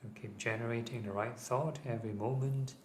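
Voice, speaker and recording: A man teaching guided meditation, giving gentle, measured instructions. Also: mid-range voice, fairly even intonation. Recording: clearly audible ambient background noise.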